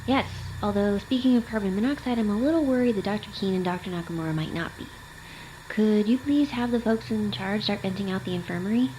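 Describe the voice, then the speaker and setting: A woman playing a dry, flippant hostage in an audio drama, with a deadpan delivery. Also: medium pitch, quick pace, slight background noise, lightly acted, poor audio. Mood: mildly concerned.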